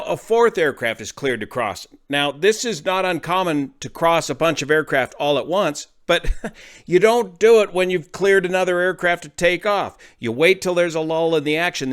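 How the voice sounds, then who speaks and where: A man's voice: fairly high pitch, fairly bright tone. A man hosting an aviation show, explaining incidents step by step in an emphatic, explanatory way. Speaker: aviation commentator and host.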